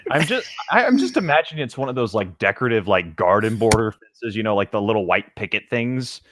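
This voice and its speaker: A man's voice: fairly low pitch, very animated, brisk, noisy background. A man co-hosting a comedy podcast, bantering casually in a joking, playfully contrary way while reading and riffing on a story.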